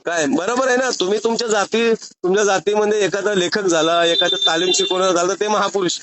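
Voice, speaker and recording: A man's voice: high voice, brisk, fairly bright tone. A man in an argumentative phone conversation, speaking insistently and challenging the other side with pointed rhetorical questions. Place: phone call. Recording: recorded phone call.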